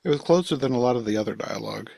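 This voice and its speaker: A man's voice: mid-range voice, expressive, racing, gravelly, mellow, no echo. A man hosting a podcast, giving opinions in a casual review chat.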